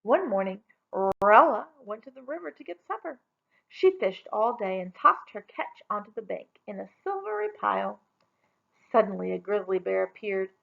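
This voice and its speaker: A woman reading a children's story aloud in a dramatic, deliberate storytelling manner. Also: mid-range voice, vocal fry, highs cut off. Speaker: storybook reader. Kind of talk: expressive children's read-aloud narration.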